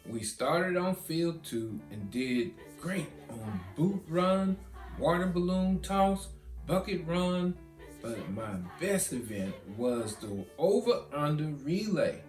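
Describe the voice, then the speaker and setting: A man's voice: on the high side, sing-song, takes it slowly. A man voicing a proud, boastful big sister who recounts field day in a storybook. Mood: proud, excited. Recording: roomy echo, guitar music behind.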